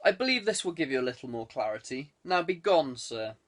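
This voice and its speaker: A man's voice: medium pitch, very animated, a little fast. A man voicing an imperious, short-tempered lord in an audiobook, curt and commanding. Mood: dismissive. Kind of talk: audiobook character dialogue.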